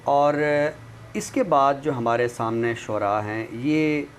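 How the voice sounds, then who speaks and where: A man speaking in a measured, formal, literary way as a commentator discussing books. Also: mid-range voice. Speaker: literary commentator and guest expert. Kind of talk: literary talk and book review with some poetry recital. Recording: clearly noisy background.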